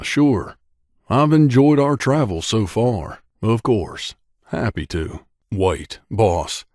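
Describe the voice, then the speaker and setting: A man's voice: lowish voice, darkish tone, no room sound, clean studio sound. A man voicing a troubled, guilt-haunted wasteland companion in a video game, speaking in an earnest, plain-spoken way. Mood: easygoing. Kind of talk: video game voice acting, dramatic dialogue.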